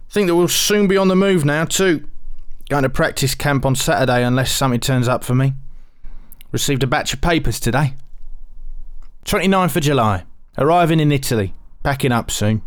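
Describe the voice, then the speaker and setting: A man's voice: fairly high pitch, no echo, studio quality, natural voice. A man with a British accent playing a terse, hopeful wartime sergeant major, reading his diary in short phrases.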